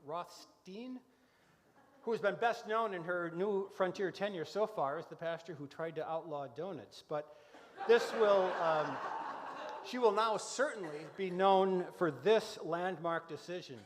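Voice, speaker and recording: Man acting a high-voiced flustered announcer. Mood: mock solemn. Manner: halting, mock-solemn. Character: earnest, easily flustered festival play-by-play announcer. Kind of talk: mock sports-style commentary in live radio comedy. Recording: echoey hall with long reverb, noisy.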